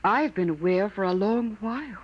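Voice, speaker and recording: An actress playing an ageless android wife in a 1950s radio drama, soft-spoken, wistful and grieving with quiet dignity. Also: female voice, mid-range voice, animated, normal speed, lo-fi sound, natural voice. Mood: tender, wistful. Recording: vintage 1950s radio broadcast recording.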